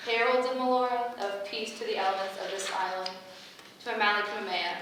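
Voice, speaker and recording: A woman's voice: medium pitch, steady tone, fairly quick, close to an everyday voice. A woman playing a benevolent, motherly goddess with formal, ceremonial oratory in a staged play reading. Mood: grand. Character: benevolent motherly female goddess, grand and solemn, gathering her heralds. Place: echoey indoor venue at a staged reading. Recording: very echoey room from far off, faint noise.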